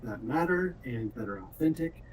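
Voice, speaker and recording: Guy chatting loosely about his studio, animated, mid pitch, slightly far mic, faint noise. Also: normal speed. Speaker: game developer being interviewed. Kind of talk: casual chat.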